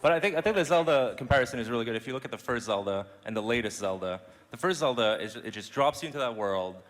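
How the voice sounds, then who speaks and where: A man's voice: mid-range voice, animated, fast talker, fairly bright tone. A man explaining his opinions as a panelist in a discussion. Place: large indoor event hall. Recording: echoey hall.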